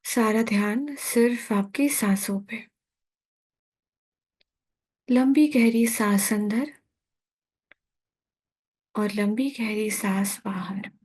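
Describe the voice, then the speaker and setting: A woman with an Indian accent guides a sleep meditation in a calm, soothing, unhurried manner, leaving long pauses between instructions. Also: mid-range voice, slightly rough.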